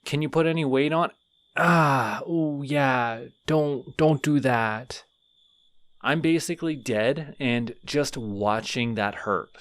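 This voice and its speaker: A man's voice: medium pitch, slow pace, a bit bright, no echo, clean studio sound. A man voicing a friendly, lonely male skeleton in an audio roleplay, rambling and apologizing a lot to the listener. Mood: helpful. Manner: rambling, sheepish, over-apologetic.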